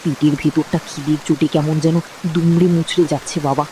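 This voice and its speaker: A woman acts a hungry, fearful small village boy for a cartoon tale. The voice is plaintive and dark-toned rather than bright, with faint rain noise behind. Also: low-pitched, steady tone, fairly quick, close to an everyday voice, rough recording. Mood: suffering. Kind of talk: Bengali moral-story cartoon voice acting.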